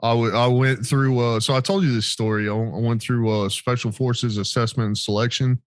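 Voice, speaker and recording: A man's voice: medium pitch, fairly even intonation, normal speed, dry recording. An American man hosting a veterans' podcast in casual, sweary, storytelling chat. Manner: informal, sweary. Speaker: podcast host, military veteran.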